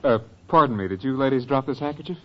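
A man's voice: medium pitch, fast talker, natural voice. A man playing a polite, earnest, apologetic magazine photographer in a 1940s radio comedy. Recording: muffled old-time radio recording.

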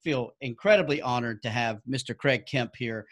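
A man's voice: mid-range voice, moderate pace. A man hosting a podcast, reading his intro and interviewing a guest in an upbeat, enthusiastic way. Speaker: podcast host.

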